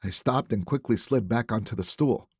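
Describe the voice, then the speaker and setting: A man narrating a first-person thriller audiobook in a wry, conversational, expressive manner. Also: mid-range voice, normal speed, husky, no room sound, studio quality.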